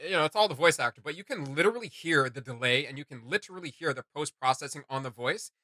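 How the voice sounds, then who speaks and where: Very bright-voiced man giving casual reactions. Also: on the high side, expressive, dry recording. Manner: halting, searching for words, repeats himself. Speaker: reaction video host.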